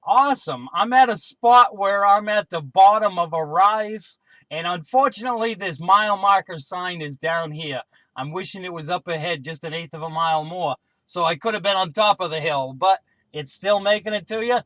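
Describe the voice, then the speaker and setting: A man's voice: high-pitched, moderate pace, bright, forceful tone, no room sound, low-quality recording. A man making casual radio calls, repeating call signs and numbers as a hobbyist would. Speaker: CB radio hobbyist running a range test.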